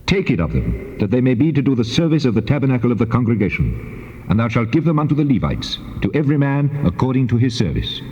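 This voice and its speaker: Guy acting as god in a bible drama, huge reverb, dull old tape, solemn measured commands. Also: mid-range voice, big pitch swings, dark tone, lightly acted. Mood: commanding. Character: solemn, commanding male God pronouncing sacred law. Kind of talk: dramatized scripture recitation.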